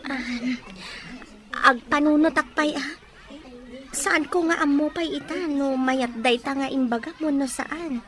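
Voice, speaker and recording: A woman plays a young Filipino woman in a radio-style drama, with a very high voice. She speaks hesitantly and questioningly, as if unsure of herself. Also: slow pace, pauses often, fairly bright tone. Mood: hesitant, uncertain. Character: startled, hesitant young single woman of modest means. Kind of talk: Ilocano audio drama acting.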